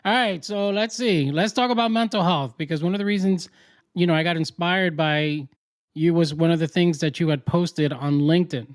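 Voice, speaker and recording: Higher-pitched man hosting, dry sound. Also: normal speed, no room sound. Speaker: talk show host and interviewer. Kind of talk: conversational interview hosting.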